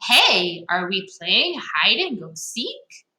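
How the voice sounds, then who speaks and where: A woman voicing an excitable, impulsive young boy in a children's storybook read-aloud. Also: medium pitch, slow pace, heavily acted. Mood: playful. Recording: echoey, roomy sound.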